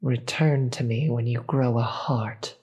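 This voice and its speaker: Casting: demon queen for audio drama, acted out by one performer, very breathy and husky, slow, commanding yet weary. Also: mid-range voice, steady tone, a little mellow. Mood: stern, commanding. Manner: slow, deliberate, grave. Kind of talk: dramatic audio roleplay acting.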